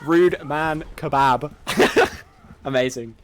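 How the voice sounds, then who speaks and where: A man's voice: fairly high pitch, very slow. An Irish man casting a live esports match in an upbeat way, laughing often. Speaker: esports caster. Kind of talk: live play-by-play commentary.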